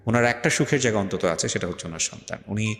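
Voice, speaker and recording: A man hosting a life-story interview show, giving measured advice and mixing English phrases into his speech. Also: fairly low pitch, fairly bright tone, big-room sound. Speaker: radio show host. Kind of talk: interview and reflective commentary.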